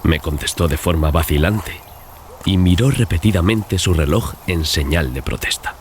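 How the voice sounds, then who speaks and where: A man's voice: deep voice, expressive, normal speed, a little hiss or hum. A man narrating a dramatized gothic horror audiobook as a scripted reading. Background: loud music and sound effects.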